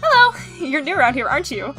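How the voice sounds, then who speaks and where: A woman as a high, bubbly young cartoon animal. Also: big pitch swings, fast talker, music in the background, low-quality recording. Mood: bubbly, eager. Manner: perky, chatty. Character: bubbly, friendly, eager young female animal.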